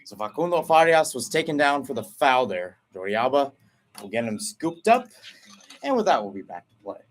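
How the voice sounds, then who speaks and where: A young man calling a soccer match play-by-play in a radio style, brisk and excitable, working remotely away from the stadium. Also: medium pitch. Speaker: play-by-play commentator. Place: remote broadcast from home setup.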